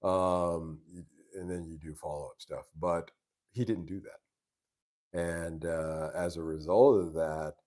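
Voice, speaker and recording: A man with a deep, very low voice hosts a relaxed live stream, chatting with viewers. He talks in an easygoing, meandering way, often restarting his sentences. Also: slow pace. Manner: easygoing, rambling, many restarts. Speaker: live stream host answering chat. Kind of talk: casual live stream chat. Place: live stream from home base abroad.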